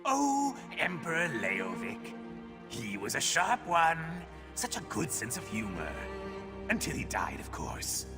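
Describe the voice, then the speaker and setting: An actor playing a mad sewer-dwelling beggar who rambles erratically in a video game. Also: male voice, fairly low pitch, heavily acted. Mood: gleeful, unhinged. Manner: rambling, erratic, talks of himself in third person. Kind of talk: video game character acting. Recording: background music behind the voice.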